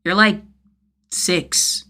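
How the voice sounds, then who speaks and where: A woman's voice: very slow, pushed and bright. A woman voicing a sardonic young man, a vigilante who talks in casual, teasing slang in a story reading. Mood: exasperated. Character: gruff, sardonic young man vigilante, wryly fond of kids.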